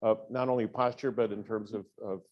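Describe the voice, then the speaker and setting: A man in the audience asking a question, halting and repeating words as he goes. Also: lowish voice, fairly even intonation, muffled recording.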